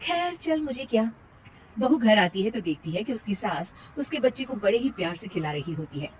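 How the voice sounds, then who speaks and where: A woman's voice: medium pitch, expressive, brisk, darkish tone, slight background noise, poor audio. A woman reading scripted narration for a cartoon moral story.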